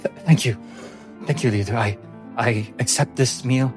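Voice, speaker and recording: A man's voice: medium pitch, normal speed, over music, natural voice. A man voicing a timid, deferential female servant mystic in a tabletop game, with a hesitant, stammering and very formal manner. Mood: nervous, deferential.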